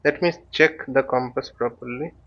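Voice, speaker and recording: A man giving an unscripted step-by-step tutorial as an instructor, halting and thinking aloud, often posing questions and answering them himself. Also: mid-range voice, muffled recording. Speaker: flight simulator instructor. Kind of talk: unscripted tutorial with live demonstration. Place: voice-over recorded while using a flight simulator.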